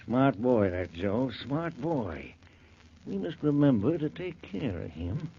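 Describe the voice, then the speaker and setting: Muffled 1940s radio drama, sly genial butcher and crook, American accent, mid-pitched, greedy, faint noise. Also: male voice, sing-song, takes it slowly. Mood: sly, gloating.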